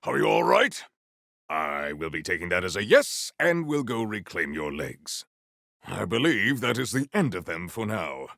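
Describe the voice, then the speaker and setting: An actor playing a proud, formal, honor-bound warrior in a video game, with archaic, theatrical diction. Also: male voice, sits low, big pitch swings, a little slow, creaky, a bit bright, dry recording, studio quality, heavily acted. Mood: grim, resolute.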